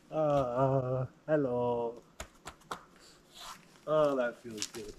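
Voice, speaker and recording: A man's voice: medium pitch, steady tone, drawn-out words, darkish tone, rough recording. A man talking casually and with awe in short exclamatory bursts, as an outdoor vlogger on a hike. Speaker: vlogger and backpacker. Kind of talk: casual outdoor vlog talk. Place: outdoors in a forest. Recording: clear outdoor background noise, camera mic.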